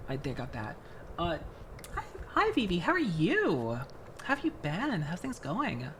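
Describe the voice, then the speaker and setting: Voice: medium pitch, huge pitch swings, unhurried, fairly bright tone, slight background noise. A streamer chatting casually with viewers while building a model kit, rambling from one thought to the next and greeting people by name. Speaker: VTuber streamer.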